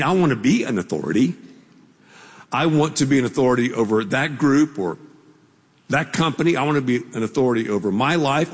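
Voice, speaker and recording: A man's voice: mid-range voice, husky. A man preaching a sermon as pastor, telling a story in a conversational, playful way with asides to the congregation. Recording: long reverb of a large hall.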